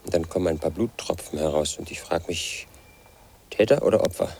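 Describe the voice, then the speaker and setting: Lead in a radio drama: an obsessive, paranoid 38-year-old loner, deep-voiced. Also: male voice, a little fast, a little mellow, slightly rough. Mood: brooding. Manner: pedantic, confiding, obsessed with statistics and codes. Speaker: lead actor in a radio play. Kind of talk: first-person radio drama monologue.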